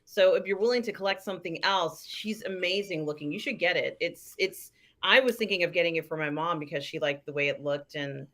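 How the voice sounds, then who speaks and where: A woman's voice: lowish voice, a little fast, hardly any pauses, a bit bright. A woman co-hosting a talk show, chatting casually as a fan.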